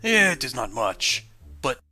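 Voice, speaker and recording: A man voicing a stern old male martial arts sensei, speaking slowly and formally in a mock Russian accent. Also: medium pitch, very animated, sharp tone, soft background music, exaggerated voice. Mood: solemn. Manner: slow, formal, solemn delivery.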